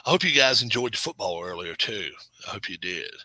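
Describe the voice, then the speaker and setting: A middle-aged man chats to his viewers in a rambling, self-correcting way, his fairly low voice bright and tense. He sometimes sinks into a quiet murmur, and the sound is close and dry, with no room around it. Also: big pitch swings, fairly quick, no room sound. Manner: drops to a low murmur at times. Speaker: channel host. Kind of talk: casual live-stream chat.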